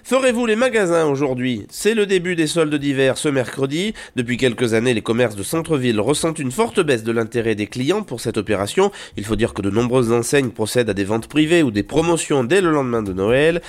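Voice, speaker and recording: A man presenting a radio news bulletin in a brisk, conversational way. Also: medium pitch, expressive. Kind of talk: scripted radio newsreading.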